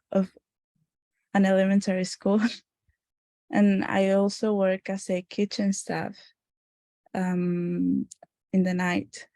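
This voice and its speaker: Gravelly, mellow young woman presenting. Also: fairly low pitch, no room sound. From Honduras. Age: young adult. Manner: hesitant, with fillers and small laughs. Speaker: student presenter. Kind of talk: class presentation.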